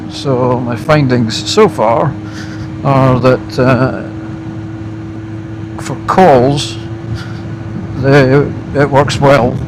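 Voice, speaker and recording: A Scottish man talks casually to viewers as he rides a motorbike, reviewing gear off the cuff. His delivery is slow and halting, broken into short phrases with pauses between them. His mid-pitched voice sits in clear wind and engine noise. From Scotland. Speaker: motorcycle vlogger. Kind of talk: casual unscripted product review while riding. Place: outdoors on a moving motorbike.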